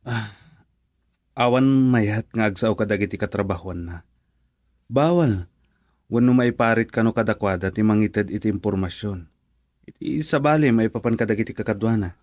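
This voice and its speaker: A man's voice: lowish voice, normal speed, pauses often, darkish tone, no echo, studio quality, natural voice. A man voicing a cautious friend in a radio drama who firmly refuses to share information. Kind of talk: Ilocano radio drama acting.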